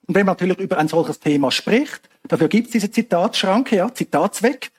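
A man's voice: high voice, animated, moderate pace, husky. A man from Switzerland, answering audience questions after a talk in an explanatory way. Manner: explanatory, hedging asides, frequent fillers.

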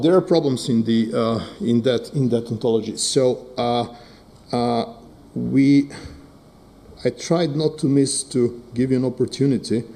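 A man's voice: mid-range voice, creaky, dark tone. A man whose first language is Serbo-Croatian, giving a technical presentation with many fillers and frequent "right?" checks. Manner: hesitant, many fillers, asks "right?" often.

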